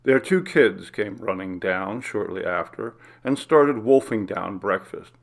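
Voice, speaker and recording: A man's voice: fairly low pitch, expressive, a little slow, creaky. A man reading a scripted story aloud as its narrator.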